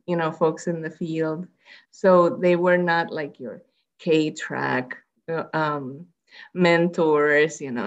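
Woman from Puerto Rico, interview guest, lowish voice, rambling delivery full of fillers. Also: highs cut off. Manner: rambling, with many fillers and restarts. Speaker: guest, public health researcher. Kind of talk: conversational interview.

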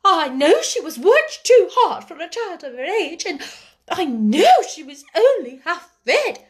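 High-pitched, overwrought timid sister. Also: female voice, big pitch swings, unhurried, full character voice. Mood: timid, nervous. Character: timid middle-aged younger sister turned hysterically, recklessly outspoken.

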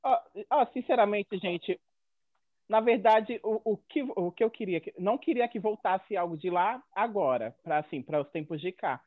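A Brazilian man in his thirties with a high voice chats as a podcast panelist. He is animated and colloquial, on a dry, somewhat muffled recording. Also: moderate pace, no echo. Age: 30s. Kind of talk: casual group chat.

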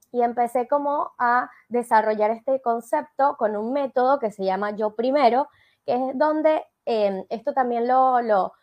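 Venezuelan woman coach, fairly high voice. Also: a little mellow. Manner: explanatory, many fillers and tag questions. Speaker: life coach guest. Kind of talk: interview conversation.

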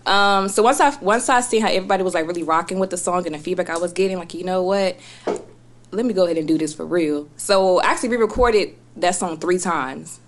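A woman guest telling her story in a casual interview, chatty and relaxed, often trailing off quietly. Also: on the low side, fast talker, a little hiss or hum. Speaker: guest rapper.

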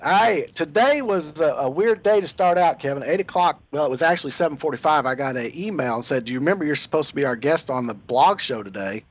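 A man hosting a radio talk show, slightly hoarse and chatty, often correcting himself mid-sentence. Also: fairly high pitch, animated, quick pace, few pauses. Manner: rambling, self-correcting, chatty. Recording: slightly dull lo-fi radio audio.

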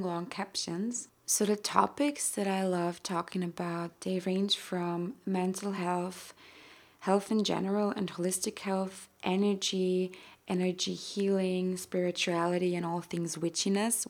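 Slow-speaking Austrian woman, low and soft. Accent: Austrian German. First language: German. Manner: gentle, rambling, with soft laughs and many fillers. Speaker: podcast host. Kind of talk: casual solo podcast monologue.

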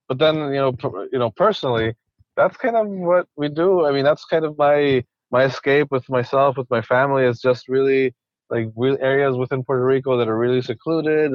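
A man's voice: medium pitch, dry recording, highs cut off. A man from Ecuador, a conservationist guest, talking casually in an interview with many restarts and repeated words.

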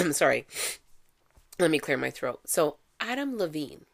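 Brief: low-pitched woman host, nasal and congested, blunt, swears freely. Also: sing-song, slow, vocal fry, a bit bright. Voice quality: nasal from allergies. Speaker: podcast host. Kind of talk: casual solo podcast chat.

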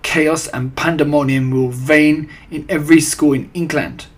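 A man's voice: fairly high pitch, steady tone, normal speed, voice pushed up, close to an everyday voice. A man voicing a disgusting, imperious old witch from a storybook, commanding and gloating in broken, foreign-sounding grammar. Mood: gloating, triumphant. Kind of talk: storybook character voice.

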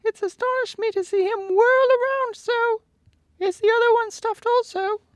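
A man voicing a big, timid cowardly lion in a squeaky falsetto for a storybook reading. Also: steady tone, normal speed, dry recording. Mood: surprised. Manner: squeaky high falsetto, distant from the mic.

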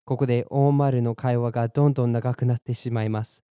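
Dark-voiced man teaching a bilingual lesson. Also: medium pitch, steady tone, dry recording. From born in Germany, raised in Boston. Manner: clear, measured explanations, slows down for repeat drills. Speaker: podcast language teacher. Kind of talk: bilingual lesson narration, Japanese and English.

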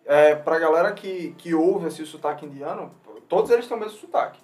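A speaker in a casual chat, talking in a relaxed, slangy way. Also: medium pitch, brisk, faint music behind.

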